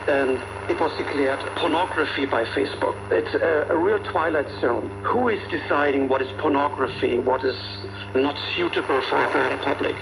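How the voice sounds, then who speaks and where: Creaky higher-pitched man on noisy shortwave. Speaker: interviewee. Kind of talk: spoken interview clip. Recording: noisy shortwave radio reception.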